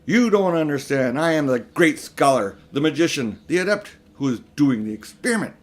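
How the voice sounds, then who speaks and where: A man's voice: on the high side, expressive, moderate pace, lightly acted. A man voicing a pompous, vain, selfish elderly magician uncle in a storybook reading, with a grandiose, self-important manner. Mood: furious, grandiose. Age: older. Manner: grandiose, self-important, indignant when challenged.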